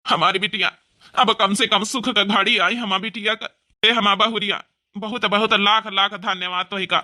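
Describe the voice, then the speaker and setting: A man's voice: high voice, steady tone, normal speed, pushed and bright. A man voicing a kindly, sentimental older village father with an Awadhi accent in a cartoon comedy. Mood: grateful. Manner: tender, sentimental, reassuring. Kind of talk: cartoon comedy drama dialogue.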